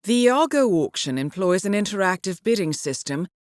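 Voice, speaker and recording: A woman playing a cool, composed intelligence handler in a video game, giving mission briefings in a measured, formal, dryly witty manner. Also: medium pitch, sing-song, dry recording, clean studio sound, natural voice. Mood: measured, informative.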